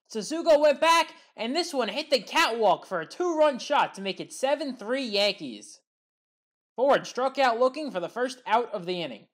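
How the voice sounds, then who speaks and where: A woman delivering scripted sports highlight commentary in recap style, punching up the big plays.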